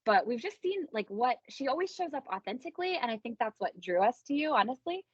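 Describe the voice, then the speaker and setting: A woman's voice: a little high, sing-song, quick pace, slightly rough, no echo, highs cut off. A woman hosting a casual podcast interview, speaking in an informal, emphatic and gushing way. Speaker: podcast host.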